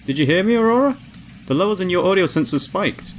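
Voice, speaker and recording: Man doing a raised-pitch, friendly woman scientist. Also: animated, quick pace, a little hiss or hum. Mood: excited, encouraging. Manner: upbeat, reassuring, slightly flustered. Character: shy, friendly woman scientist, encouraging, easily flustered. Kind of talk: visual novel character acting. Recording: music behind the voice.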